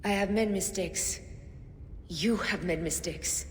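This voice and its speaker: An actress playing a determined Egyptian leader of conspirators in a video game, measured and solemn. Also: female voice, mid-range voice, in a large hall, slight background noise, natural voice. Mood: solemn regret.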